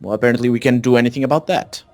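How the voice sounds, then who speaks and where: A man's voice: mid-range voice, rapid-fire. A man chatting casually during a co-op game, thinking aloud and often questioning. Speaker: gaming video player. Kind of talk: casual co-op playthrough chat.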